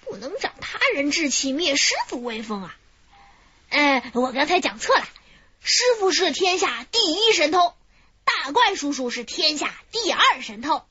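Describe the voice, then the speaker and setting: Woman voicing an eager young apprentice thief, high bright voice, slightly muffled, radio drama. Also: very animated, unhurried, full character voice. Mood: teasing. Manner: eager, chattering, fussing.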